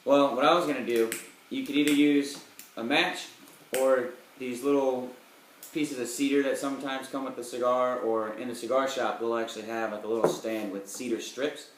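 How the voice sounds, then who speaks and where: A man's voice: mid-range voice, fairly even intonation, moderate pace, distant mic. A young man from Florida co-hosting a cigar show, explaining things casually between bits of banter. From Florida, United States. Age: young adult. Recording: echoey room, clear background noise, clicks.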